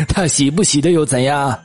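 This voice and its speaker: Man acting a slow, husky old gentleman. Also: on the high side, faint music behind. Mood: genial, musing. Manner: hesitant, chuckling, unhurried. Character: elderly men, master and servant, genial and chuckling. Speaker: audiobook voice actor. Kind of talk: acted audiobook dialogue.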